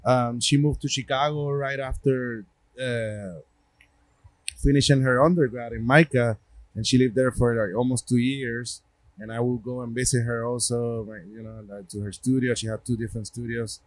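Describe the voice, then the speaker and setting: A middle-aged man with a Puerto Rican accent talks casually about his gallery. He rambles, restarts and fills gaps with "uh" and "you know," at an even middle pitch. The sound is close and dry. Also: moderate pace, no room sound. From Puerto Rico. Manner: rambling, many restarts and fillers. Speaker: gallery owner and artist. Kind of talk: casual interview talk.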